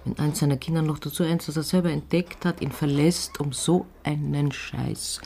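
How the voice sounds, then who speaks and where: An actor playing a world-weary theatre colleague sharing secrets in a radio drama, confiding and sardonic in a deliberate way. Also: male voice, on the high side, steady tone, vocal fry, darkish tone, slight background noise.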